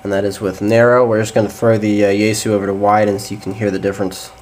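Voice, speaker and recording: A man's voice: on the low side, level tone, fast. A man giving a casual, hesitant hands-on demonstration of radio gear. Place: small indoor room at a desk. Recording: noisy amateur camcorder or phone audio.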